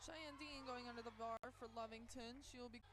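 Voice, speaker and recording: A woman announcing a school powerlifting meet live, calling lifts in a halting way with pauses and fillers, her voice strained from yelling the day before. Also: fairly high pitch, steady tone, background noise.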